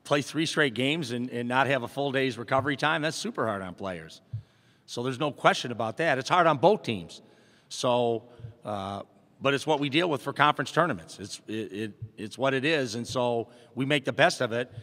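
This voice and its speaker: Plainspoken middle-aged coach at a press table. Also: male voice, mid-range voice, normal speed, a bit bright. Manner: plainspoken, upbeat, many fillers and restarts. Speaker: basketball head coach. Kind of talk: postgame press conference answers. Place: press conference room. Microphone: table mic in front. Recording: long hall reverb.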